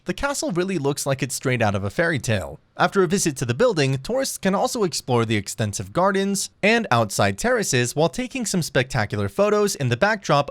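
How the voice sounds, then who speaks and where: A man's voice: on the high side, no room sound, studio quality. A man reading scripted narration for a travel countdown video in a polished, promotional manner.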